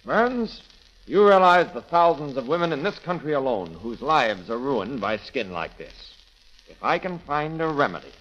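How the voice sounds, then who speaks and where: An actor playing an intense, obsessive inventor, brusque and excitable, in 1930s radio romance style. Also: male voice, medium pitch, normal speed. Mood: brusque, intent. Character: intense, obsessive male inventor experimenting on skin cures. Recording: muffled, crackling 1930s radio recording.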